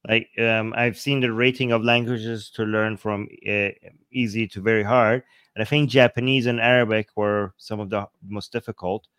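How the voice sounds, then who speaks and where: A man hosting a casual panel discussion, sarcastic, mocking arguments with quick imitated quotes. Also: fairly low pitch, flat delivery, fairly bright tone, smooth, dry recording. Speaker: host.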